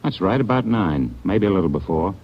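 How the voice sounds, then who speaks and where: A jittery hotel manager. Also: male voice, deep voice, animated, a little fast, breathy edge, exaggerated voice. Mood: anxious. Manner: eager, rambling explanations. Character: nervous, talkative small hotel manager. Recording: dull old radio broadcast recording.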